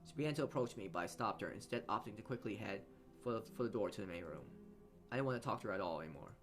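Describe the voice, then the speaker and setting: A man's voice: fairly low pitch, animated, very fast. A man reading a visual novel aloud as a let's play host, stumbling over words and rereading phrases as he goes. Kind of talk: reading narration aloud with side comments. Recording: music behind the voice. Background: game music.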